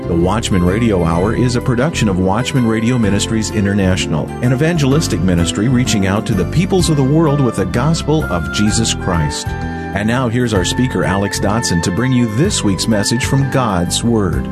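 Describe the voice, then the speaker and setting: A man announcing the opening of a religious radio program in a smooth, formal delivery. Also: on the low side, expressive, normal speed. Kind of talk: scripted program introduction. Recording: voice over loud music bed.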